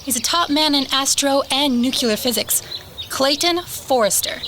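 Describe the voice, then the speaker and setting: A woman's voice: high voice, moderate pace, bright, forceful tone. A woman acting in a radio drama as an eager, chatty, admiring young woman who likes to insist. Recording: noisy radio play recording.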